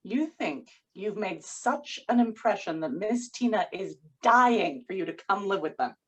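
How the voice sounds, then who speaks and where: A woman's voice: mid-range voice, lively intonation, unhurried, a bit bright, breathy edge. A woman at a screenplay table read playing a sardonic, disapproving lover with dry, arch, pointed delivery. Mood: sardonic, irritated.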